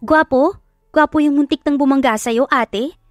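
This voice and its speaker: A woman voicing an excitable, sweet teenage younger sister in an audio drama, eager and exclaiming. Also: sits high, normal speed, no echo, studio quality, soft background music, pitched above the performer's own voice, close to an everyday voice. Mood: curious.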